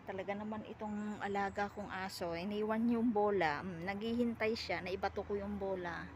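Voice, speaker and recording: A woman's voice: medium pitch, steady tone, normal speed. A woman with a Filipino accent chatting casually outdoors as she films a home video. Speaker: vlogger filming pets and wildlife at home. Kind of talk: casual chat to camera. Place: outdoors in a yard. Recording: clear background noise, outdoor ambience.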